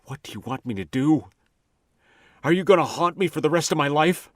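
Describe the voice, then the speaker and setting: A man's voice: fairly high pitch, expressive, brisk, fairly bright tone, no room sound. A man playing a frightened, guilt-ridden young husband in a horror radio drama, pleading and anguished.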